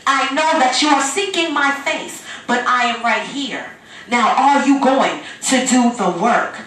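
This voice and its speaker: High-voiced woman preaching in an echoey room. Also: animated, unhurried, fairly bright tone. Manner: emphatic, repetitive, exhorting delivery. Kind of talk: impassioned church sermon with rhetorical questions. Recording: very echoey room, distant microphone.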